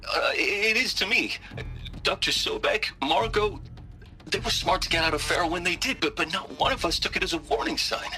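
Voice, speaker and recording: A stammering remorseful scientist. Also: male voice, high-pitched, animated, pushed and bright, full character voice. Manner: stammering, halting, full of restarts. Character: remorseful male scientist seeking atonement. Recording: game audio with faint music.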